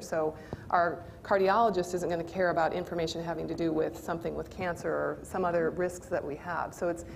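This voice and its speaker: A woman's voice: on the low side, darkish tone, slight background noise. A woman in her forties with an American accent, explaining informally with everyday examples in a conversational panel discussion. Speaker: panelist, company executive. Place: indoor panel stage in a function room. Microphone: lapel.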